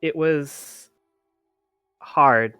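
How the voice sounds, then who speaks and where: A haunted, polite teenage boy, played by a man in a tabletop game. He speaks slowly and haltingly, stammering in a fairly high, clear voice. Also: dry recording, lo-fi sound, soft background music. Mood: pained, restrained. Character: timid, polite blind orphaned teenage boy, haunted survivor.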